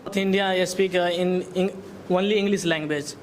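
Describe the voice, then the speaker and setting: A man's voice: sits high, fairly even intonation, normal speed, a crowd in the background. A man in a classroom debate, speaking broken English and tagging his points with "okay?". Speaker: debate participant.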